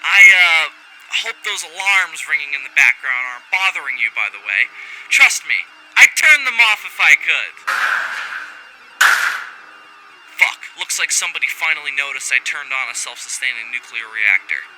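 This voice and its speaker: A man's voice: on the high side, big pitch swings, moderate pace. A man playing a foul-mouthed, rebellious scientist in a sci-fi audio drama, delivering a rambling, wry and theatrical recorded log that keeps interrupting itself. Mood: flustered, wry. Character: brash, foul-mouthed rogue male scientist, giddy and rebellious. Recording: faint background alarm and machinery noise.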